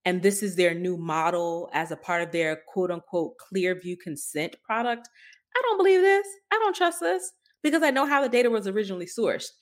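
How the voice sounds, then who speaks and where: A woman's voice: mid-range voice, lively intonation, a little fast. A woman co-hosting a podcast, giving conversational, emphatic and skeptical commentary on tech news. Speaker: podcast co-host.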